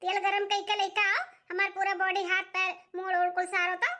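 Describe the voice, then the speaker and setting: A woman voicing squeaky village women in an Awadhi cartoon family comedy, with an eastern Uttar Pradesh accent. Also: flat delivery, a little fast, sharp tone. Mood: bossy, scolding. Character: women of a village family: bossy sister-in-law, meek young bride, mother-in-law.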